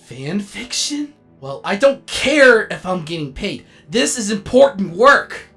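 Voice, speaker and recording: A man playing a vain, melodramatic robot actor and director in a comedic animated comic dub, with an excitable, grandiose delivery. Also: high voice, slow, creaky, soft background music, full character voice. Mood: eager.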